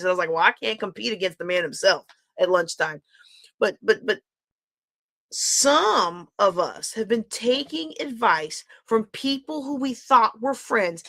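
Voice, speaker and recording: A woman's voice: mid-range voice, a bit bright. A middle-aged woman coach giving a candid live-streamed motivational talk, repeating phrases and asking her viewers questions. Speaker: life coach.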